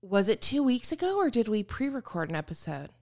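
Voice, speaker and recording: A woman's voice: fairly high pitch, sing-song, brisk, darkish tone. Wry young woman podcast co-host. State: tired. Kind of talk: casual chat.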